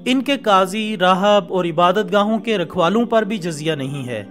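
High-pitched male documentary narrator over music. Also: moderate pace, fairly bright tone. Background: background music.

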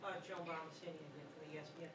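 A woman's voice: low voice, flat delivery, racing. A woman sports reporter asking a coach a question at a press conference in a friendly, chatty way.

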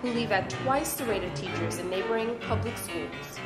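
A woman narrates in a scripted, fairytale-book style at a medium pitch. Her voice has noticeable echo around it, and music plays prominently underneath. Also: normal speed.

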